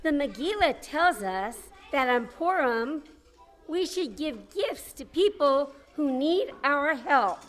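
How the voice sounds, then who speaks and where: A woman giving a simple scripted announcement to families, deliberate and explanatory. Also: high voice, expressive, faint music behind. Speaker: announcer for a charity appeal. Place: social hall, live.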